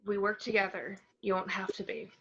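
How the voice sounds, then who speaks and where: A woman playing a feisty, determined superhero wife and mother in a dramatic read of an animated film script, forceful and insistent. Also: mid-range voice, fairly quick, slightly rough, lo-fi sound. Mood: reassuring.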